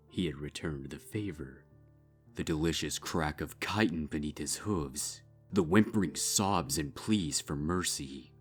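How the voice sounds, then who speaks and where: A man reading dark fiction as a scripted narrator, measured and with dramatic pauses. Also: extremely low pitch. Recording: music behind the voice.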